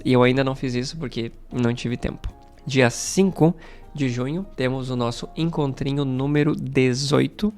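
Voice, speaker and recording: A Brazilian man talks casually to camera like a teacher updating his online community. His voice sits at a middling pitch with a noticeable gravelly fry, and faint music plays behind him. He is conversational and stresses points by repeating them. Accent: Brazilian Portuguese. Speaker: Portuguese teacher and YouTube channel host.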